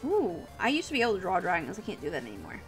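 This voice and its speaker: A woman's voice: lowish voice, huge pitch swings, racing. Female livestreamer, informal and encouraging, talking off the cuff over background music. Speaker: livestream host and digital artist. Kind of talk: casual livestream chat while drawing. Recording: music playing behind the voice.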